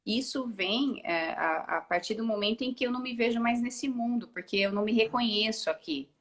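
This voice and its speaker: A woman's voice: fairly high pitch, fairly quick, a bit bright. A woman talking as a guest in a live-streamed video call, thinking aloud with frequent hesitations and restarts. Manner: reflective, many hesitations and restarts. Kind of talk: casual live-stream conversation.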